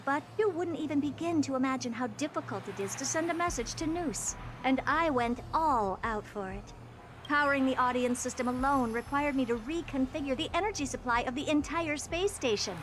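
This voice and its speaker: A woman voicing a haughty, blunt young genius scientist in a video game, dry, brisk and self-assured. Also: high voice, fairly bright tone, a little hiss or hum.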